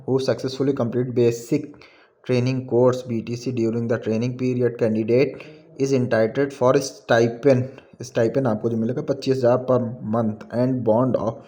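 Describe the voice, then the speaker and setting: Flat, mellow Indian man explaining exam rules. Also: medium pitch. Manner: halting when reading English aloud, switches between Hindi and English. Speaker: exam information presenter. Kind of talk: informal explainer, reading out notice and commenting.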